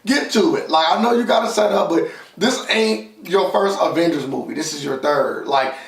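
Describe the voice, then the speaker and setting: A man with a slightly high, creaky voice chats through a movie review. He is opinionated and emphatic, repeating phrases to drive points home. The sound is echoey, as if he sits back from the mic. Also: normal speed. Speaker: film reviewer and co-host. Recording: roomy echo, distant from mic.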